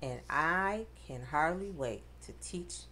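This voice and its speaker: A woman reading a rhyming picture book aloud to children, with expressive, dramatic emphasis. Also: low voice, takes it slowly, slight background noise. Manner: addresses children directly, dramatic emphasis on rhymes.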